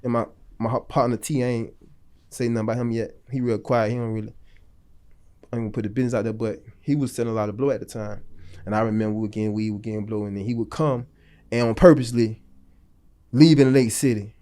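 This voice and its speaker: A man's voice: medium pitch, steady tone, fast talker, mellow, clear, resonant tone. A man in his late thirties from North Florida, a podcast guest telling long stories in a loose, slangy, rambling way. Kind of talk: casual storytelling interview.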